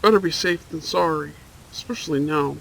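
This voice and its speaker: A man's voice: a little high, fairly quick, gravelly, fairly bright tone, low-quality recording. A man playing a handsome, protective, flirtatious vampire in an intimate ASMR roleplay, murmuring soothingly and often near a whisper. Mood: caring, reassuring. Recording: faint storm noise behind. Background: rain and thunder.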